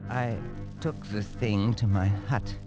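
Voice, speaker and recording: Deep-voiced, haunted English adventurer. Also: male voice, steady tone, highs cut off. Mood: haunted, uneasy. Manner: dramatic, brooding, with halting pauses. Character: haunted, high-strung English adventurer recounting his nightmare. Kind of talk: old-time radio acting and first-person narration. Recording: old radio drama with prominent music.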